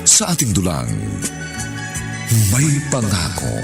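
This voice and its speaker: A man's voice: sits low, wildly swooping pitch, takes it slowly. A man with a Filipino accent announcing a radio drama in a dramatic scripted style. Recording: loud music under the voice. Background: loud music.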